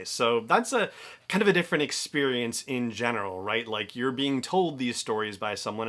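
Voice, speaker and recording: A man's voice: medium pitch, fairly bright tone. A man giving a conversational solo podcast review in a chatty, enthusiastic way with emphatic stresses.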